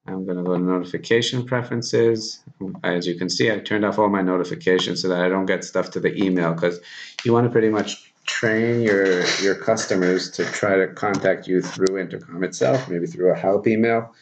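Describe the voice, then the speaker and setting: A man in his thirties presenting a software tutorial informally, thinking aloud as he demonstrates at his computer. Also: low voice. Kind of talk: casual software screencast tutorial. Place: small plain room indoors. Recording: dull lo-fi audio, background noise.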